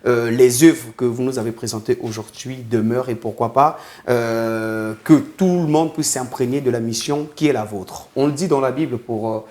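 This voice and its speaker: A man hosting a TV studio interview, with a mid-pitched, ordinary voice. He speaks formally and with great deference. He addresses his guest by grand titles and speaks to viewers with ceremonious courtesy. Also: normal speed, hardly any pauses, a little mellow. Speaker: TV journalist and host.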